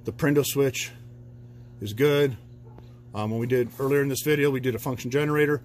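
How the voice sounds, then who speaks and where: A man's voice: medium pitch, fairly even intonation, a little fast, slight background noise. A man working as an auto mechanic, talking through a repair in a loose, rambling way with plenty of fillers. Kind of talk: casual repair walkthrough. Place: garage, under a truck.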